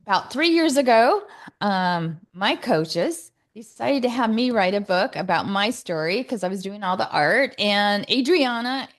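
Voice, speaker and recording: A woman's voice: mid-range voice, lively intonation, measured pace. A woman hosting an online talk, chatting casually with lots of fillers and restarts. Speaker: host. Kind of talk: casual chat.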